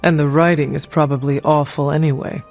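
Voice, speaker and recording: An actor playing a brooding, dry-witted warrior ally in a video game, speaking formally and deliberately. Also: male voice, a little high, flat delivery, creaky. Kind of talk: video game character dialogue. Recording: dull band-limited game audio, music behind.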